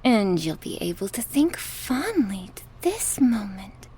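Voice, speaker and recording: A woman playing a sadistic, gleefully cruel goddess in an ASMR horror roleplay, taunting theatrically and slipping into breathy whispers. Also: medium pitch, sing-song, measured pace, darkish tone, heavily acted. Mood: condescending.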